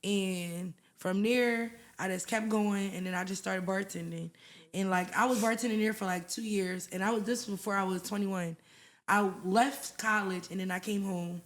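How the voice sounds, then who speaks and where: This is a young woman from West Philadelphia with a clear Philly accent. She sits as a guest on a podcast and tells her story in casual, animated talk. She fills it with "like" and acts out what other people said. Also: mid-range voice, normal speed. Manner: animated, acts out voices in her stories, lots of "like".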